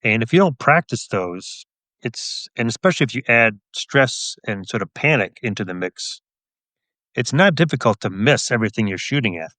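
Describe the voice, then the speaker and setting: A man who works as a police officer and author, answering interview questions in a measured, explanatory, conversational way. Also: mid-range voice, animated, sharp tone, dry recording.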